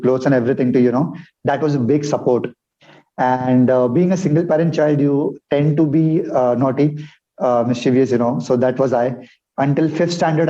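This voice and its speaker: A man from India with an Indian English accent, telling his life story in a relaxed way as a podcast guest, with many fillers. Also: mid-range voice, dull audio. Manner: relaxed, uses many fillers like uh and you know. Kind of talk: casual interview storytelling.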